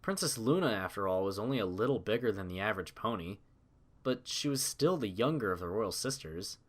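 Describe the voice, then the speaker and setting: A man's voice: fairly low pitch, expressive, a little fast. Man narrating a dramatic story.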